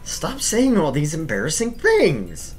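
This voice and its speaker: A man's voice: fairly high pitch, wildly swooping pitch, measured pace, soft background music, voice pushed up. A man voicing an exasperated, easily flustered teenage boy who scolds and stammers in a visual novel. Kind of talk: visual novel read-aloud.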